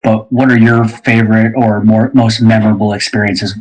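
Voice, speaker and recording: A man's voice: fairly low pitch, flat delivery, normal speed, low-quality recording. A man hosting a podcast, putting questions to his guest in casual conversation. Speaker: podcast host asking questions. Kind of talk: casual interview chat.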